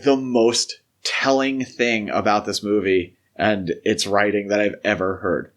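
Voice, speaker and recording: A man co-hosting a podcast, talking casually and analytically through a film discussion in an explanatory way. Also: medium pitch. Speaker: podcast co-host.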